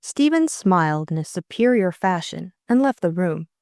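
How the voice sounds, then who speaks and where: A woman's voice: fairly low pitch, very animated, normal speed, darkish tone, no echo, clean studio sound. A woman reading scripted story narration as an audiobook narrator.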